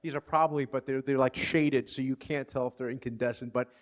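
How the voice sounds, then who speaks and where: A man's voice: mid-range voice, fairly even intonation, fairly quick. A man co-hosting a podcast, speaking in a rambling, digressive way with easy, agreeable banter. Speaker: podcast co-host. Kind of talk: casual podcast chat.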